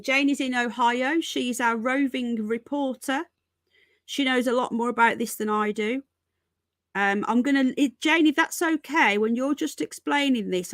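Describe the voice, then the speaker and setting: A woman's voice: on the high side, dry recording, clean studio sound. A woman hosting a casual live news stream from home, chatting to viewers, repeating herself and calling them folks and darling. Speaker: livestream host.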